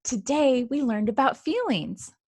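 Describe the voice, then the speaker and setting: A woman's voice: high-pitched, very animated, measured pace, a little mellow, slightly rough, no echo, voice pushed up, full character voice. Woman voicing an earnest little girl mouse. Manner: sincere, explaining simply to family. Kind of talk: children's storybook read-aloud.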